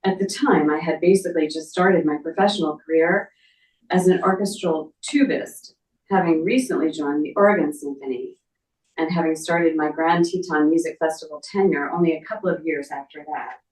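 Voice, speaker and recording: A woman's voice: deep voice, normal speed, darkish tone. A middle-aged woman speaking at a memorial, reading a written tribute aloud. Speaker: memorial speaker, relative of the family. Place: echoey indoor memorial gathering. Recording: echoey room, distant microphone.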